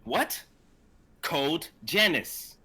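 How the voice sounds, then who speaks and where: A young male lab assistant gone crooked, acted by a man, husky and forceful. His slow, emphatic delivery swings widely in pitch, desperate and hot-tempered, in a dramatic script reading. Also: animated, exaggerated voice. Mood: tense, irritated. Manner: urgent, emphatic.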